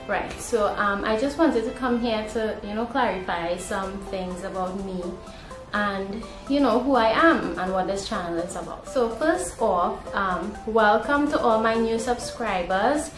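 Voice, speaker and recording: A woman's voice: medium pitch, a little slow. Chatty Trinidadian woman in a roomy space. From Trinidad, born in Guyana. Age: young adult. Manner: chatty, informal, conversational. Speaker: vlogger. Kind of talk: casual talking-to-camera vlog. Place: indoor room at home. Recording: roomy echo, music behind. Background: background music.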